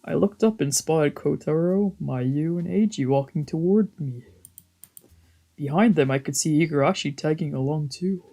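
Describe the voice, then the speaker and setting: A woman's voice: low voice, moderate pace, mellow. A woman VTuber streamer reading visual novel narration aloud in a dramatic storytelling style.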